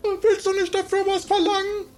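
A man's voice: very high-pitched, completely flat delivery, fairly quick, a little mellow, voice pushed up. A man voicing a greedy, complaining undertaker's brother in an improvised role-play comedy. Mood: pushy. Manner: complaining, pushy. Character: shrill, greedy undertaker's brother pushing coffin sales. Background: music under the voice.

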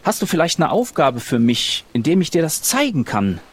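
Animated man, a podcast guest. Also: on the high side, normal speed, breathy edge. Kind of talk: casual improvised role-play and chat.

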